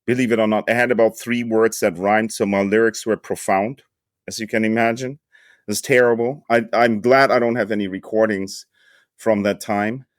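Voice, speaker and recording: A man's voice: lowish voice, fairly even intonation, moderate pace, dry recording, studio quality. A man in his fifties from the Hamburg region, a native German speaker, casually telling his story in slangy, joking English as a podcast guest. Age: 50s. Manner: laid-back American slang, joking.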